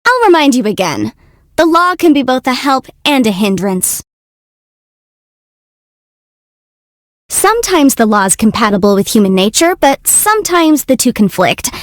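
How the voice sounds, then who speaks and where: A woman's voice: sits high, very animated, moderate pace, no echo, clean studio sound. A woman voicing a cute, confident young female legal adviser in a video game, youthful and articulate with a theatrical, self-assured delivery. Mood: earnest. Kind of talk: video game character voice lines.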